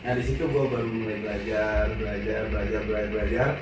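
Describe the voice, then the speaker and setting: A man from West Sumatra with a Minang accent, a guest chatting casually and telling his career story in lively slang. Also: on the low side, quick pace, background noise. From West Sumatra, Indonesia (Padang). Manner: animated storytelling in slangy speech. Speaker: guest, actor and radio host. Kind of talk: casual chat. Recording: echoey room, far from the mic, with music.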